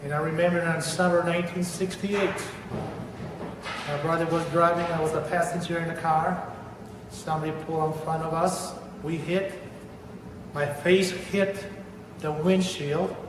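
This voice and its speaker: A man's voice: fairly high pitch, little pitch movement. Older man with an Argentine accent. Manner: earnest, deliberate, repeats words for emphasis. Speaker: church member giving testimony. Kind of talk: personal religious testimony. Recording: echoey hall, voice from far off, noisy.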